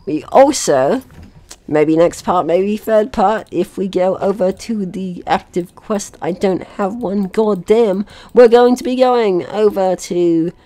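A woman's voice: mid-range voice, slow pace, dark tone. A woman giving casual, rambling and playful let's play commentary as host, swearing now and then. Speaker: gaming video host.

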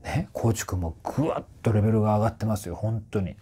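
A man in his forties giving casual, explanatory commentary to camera as a voice trainer, in a slightly husky voice. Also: lowish voice, quick pace. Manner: animated, often sings short phrases to demonstrate. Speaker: voice trainer reviewing singers. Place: small indoor room with curtains.

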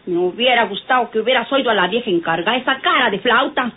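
A woman's voice: a little high, fast talker, slightly rough. An actress playing a touchy, nosy, folksy working-class Mexican woman who scolds, in an old radio drama. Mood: indignant scolding. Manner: quarrelsome, folksy speech. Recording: tinny, noisy vintage radio recording.

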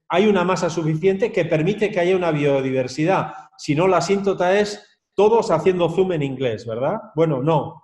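A man with a slightly higher voice gives a relaxed academic talk over a video call, keeps checking in with his listeners and sounds set in an echoey room. His comes through as he explains. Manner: lively, conversational, with frequent tag questions. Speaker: lecturer. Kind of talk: academic webinar lecture.

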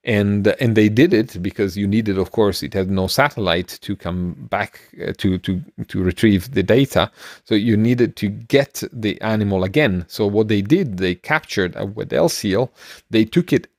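Low, gravelly middle-aged man chatting. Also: normal speed, dry recording, studio quality. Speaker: podcast co-host. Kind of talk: casual explanatory chat. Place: small room at home, on a video call. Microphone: close microphone, headphones.

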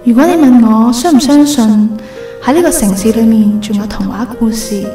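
An actress playing a wistful young woman reading out a farewell confession in a radio drama, gentle, reflective and sorrowful. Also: fairly high pitch, moderate pace, close to an everyday voice. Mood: sad, grateful. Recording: roomy, distant voice over music. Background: music.

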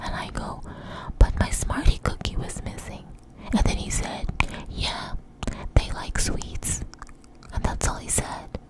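Woman in a hushed whisper. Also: a little slow, poor audio. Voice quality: breathy unvoiced whisper. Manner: conversational, hushed, intimate. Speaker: ASMR storyteller, host. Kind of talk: ASMR close whisper, informal storytelling. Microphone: close to the microphone.

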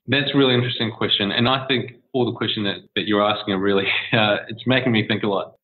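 Nz guy, lowish voice, rambly relaxed interview answers, sounds like a phone line. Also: fast. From New Zealand. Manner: relaxed and discursive, with many restarts and fillers. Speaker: startup co-founder, guest.

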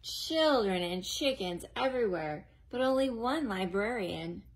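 Slow sing-song woman reading to kids. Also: medium pitch, lively intonation. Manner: sing-song, exaggerated and slow for young children. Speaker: children's librarian. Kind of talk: children's storytime reading. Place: living room at home, then a library.

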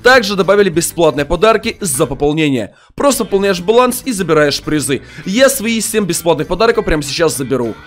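A man's voice: high-pitched, lively intonation, a little fast, hardly any pauses, faint music behind. A young man making a gaming video at home on a headset, hyped and full of amazed exclamations, sounding a little sick. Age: young adult. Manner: hyped, exclaims in amazement, pushes likes and promo codes. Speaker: mobile game youtuber. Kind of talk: excited gaming video commentary. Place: small room at home, indoors.

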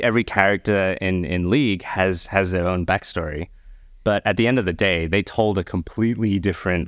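Deep-voiced man in casual panel chat. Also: no room sound, clean studio sound. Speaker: podcast panellist.

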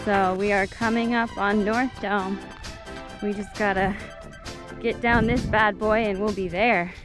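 A woman's voice: medium pitch, steady tone, a little slow. A bubbly young woman narrates a mountain hike outdoors in a teasing, upbeat way. Her voice sits in a mid range for a woman and is picked up by an action camera in the open air. Music plays behind her. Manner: bubbly, teasing, laughs often. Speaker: vlogger hiker. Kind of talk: casual outdoor vlog narration. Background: background music.

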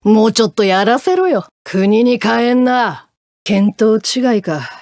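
A brash one-eyed swordswoman from a fighting game. Her voice sits fairly high, yet she talks in rough, mannish, taunting phrases, hungry for a fight. The recording is close and dry. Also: animated, takes it slowly, darkish tone, no echo. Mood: cocky, menacing. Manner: coarse masculine speech, taunting. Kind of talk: Japanese fighting game voice acting.

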